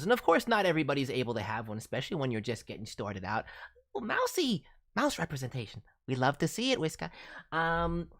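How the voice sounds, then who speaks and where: Cartoon raccoon host, acted by a man, excitable, very animated pitch, casual commentary, encouraging, cutesy wording. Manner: cutesy playful word forms. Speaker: VTuber host reviewing voice demo reels.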